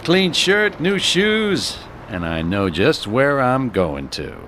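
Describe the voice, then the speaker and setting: A man's voice: medium pitch, big pitch swings, slow pace, sharp tone, a little hiss or hum, full character voice. An actor playing a cocky, menacing tough man in a radio drama, with sardonic, clipped talk. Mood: smug, cool.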